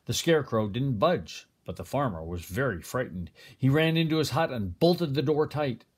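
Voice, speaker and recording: An older man reads a children's picture book aloud as a grandfather would, with expressive, deliberate phrasing. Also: mid-range voice, breathy edge. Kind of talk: storybook read-aloud.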